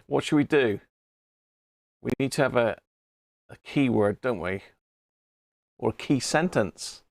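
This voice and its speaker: British male hobbyist streamer: informal, rambling, chummy, mid-pitched voice in a dry acoustic. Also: expressive, takes it slowly, creaky, long pauses, no echo. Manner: rambling, thinking aloud, chummy asides. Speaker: livestream host, CB radio hobbyist. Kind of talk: casual live chat to viewers. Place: indoor radio room.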